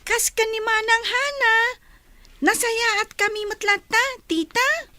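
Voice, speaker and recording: High, bright child's voice, slow. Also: female voice, no room sound, heavily acted. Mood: polite, cheerful. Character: polite young girl, niece greeting her aunt and uncle. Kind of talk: radio drama acting.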